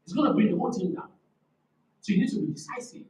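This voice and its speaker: A man with a loud, forceful voice giving an emphatic, didactic motivational talk at a conference, repeating key phrases and asking rhetorical questions. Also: high-pitched, expressive, quick pace. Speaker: conference speaker on leadership. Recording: echoey room, distant microphone.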